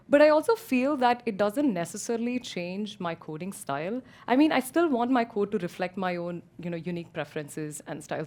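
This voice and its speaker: Woman, student panelist, lowish voice, rambling, lots of fillers. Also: a little mellow. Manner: rambling, fillers like "you know" everywhere. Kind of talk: panel discussion.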